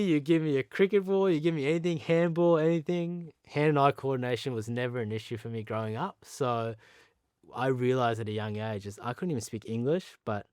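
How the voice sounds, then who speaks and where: A man's voice: medium pitch, dry recording, clean studio sound. A man in his thirties with an Australian accent, born in Pakistan, talking candidly as an interview guest, earnest and chatty, sometimes laughing at himself. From Pakistan, raised in Sydney. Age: 30s.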